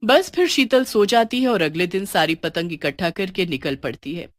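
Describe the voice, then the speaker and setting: A woman's voice: lowish voice, lively intonation, normal speed, no echo, clean studio sound. A woman storyteller giving scripted, dramatic narration of a horror tale.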